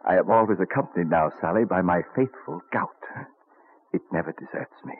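An elderly, scholarly statesman with a deep voice that rises and falls in lively swells. He is fond and musing, savouring his words. It sounds like a muffled old radio play. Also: normal speed, a little hiss or hum. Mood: fond, proud. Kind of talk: old-time radio drama acting. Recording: muffled vintage radio recording.

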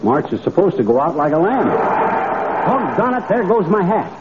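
A man's voice: high-pitched, big pitch swings, moderate pace. A man acting a cheerfully boastful, middle-aged everyman in 1940s radio comedy, with folksy, slangy banter. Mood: cheerful, teasing. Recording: noisy, tinny vintage 1940s radio recording.

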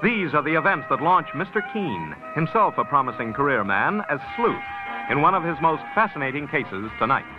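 Animated vintage radio announcer, a man. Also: fairly high pitch, moderate pace, over music. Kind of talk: 1950s scripted radio announcing. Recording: muffled old radio recording.

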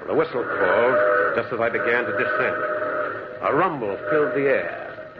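A man's voice: medium pitch, animated, moderate pace, lightly acted. An actor playing a lonely man who lives alone by the railroad tracks, narrating and acting in a brooding, dramatic 1940s radio drama style. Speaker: narrator and lead character. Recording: lo-fi old radio recording with faint hiss.

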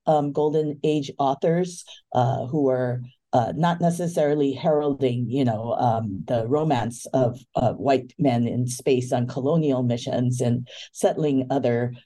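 Dark-voiced woman from Hawaii, hesitant. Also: very deep voice, a little slow. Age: 50s. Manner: thoughtful, hesitant, with many ums. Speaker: book editor guest. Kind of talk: interview.

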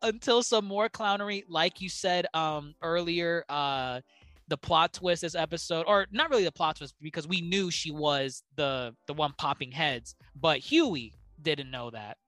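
A man's voice: a little high, measured pace, sharp tone, no room sound, soft background music. A man co-hosting a casual podcast, talking with many fillers, restarts and stumbles. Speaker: podcast co-host.

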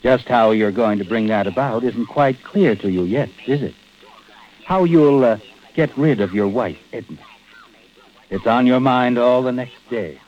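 A man's voice: mid-range voice, measured pace, a little mellow, close to an everyday voice. An actor as an ominous, knowing narrator of an old-time radio mystery, insinuating and taunting as he addresses the listener. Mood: sinister, knowing. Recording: dull old radio recording.

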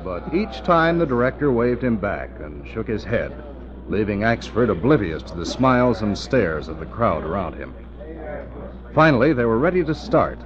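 A man's voice: fairly low pitch, sing-song, normal speed. A man narrating an old-time radio drama in a dramatic, scripted storytelling style. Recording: muffled old radio, loud crowd behind. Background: crowd and street bustle.

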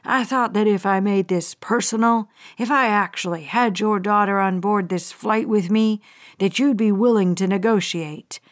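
A woman doing a low, growling male hijacker. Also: normal speed, natural voice. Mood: menacing. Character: menacing male hijacker, cold and threatening.

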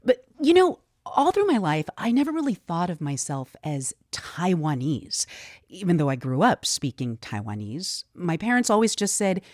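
A woman anchoring a national news program, talking in a lively, conversational way as she reports. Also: fairly low pitch, moderate pace, no echo, clean studio sound.

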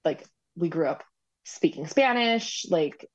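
A woman's voice: fairly low pitch, expressive, slow pace, breathy edge, muffled recording. A woman guest on a podcast interview, talking in a rambling way with frequent ums and likes. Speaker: guest, recipient parent. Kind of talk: conversational interview.

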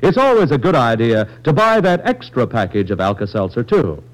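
A man working as a radio announcer, delivering a polished, emphatic 1940s-style commercial pitch. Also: big pitch swings, brisk, low-quality recording.

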